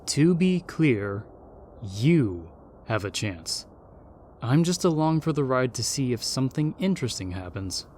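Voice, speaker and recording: A man's voice: medium pitch, sing-song, measured pace, a little mellow, a little hiss or hum. A man playing a jaded, indifferent, snobbish ghost in a comedic audio roleplay, dry, aloof and sardonic. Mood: bored, sardonic.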